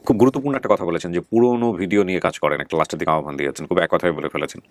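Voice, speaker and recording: A man's voice: low voice, racing, no echo. A man hosting an online show, talking earnestly and reflectively to his viewers with fillers and restarts. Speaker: host. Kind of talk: casual talk to viewers.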